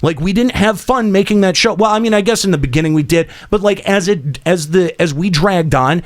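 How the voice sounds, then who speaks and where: A man's voice: high-pitched, brisk. A man hosting a casual talk show, speaking in an emphatic, blunt, opinionated way that readily turns profane. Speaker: podcast host.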